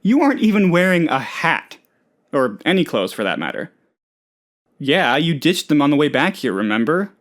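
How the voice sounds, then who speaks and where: A man's voice: a little high, very animated, normal speed, a bit bright. A man playing an eccentric, eager, scatterbrained office worker in a comedic video game, rambling earnestly about absurd things. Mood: mildly indignant. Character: eccentric, eager, scatterbrained male office colleague, cheerfully odd.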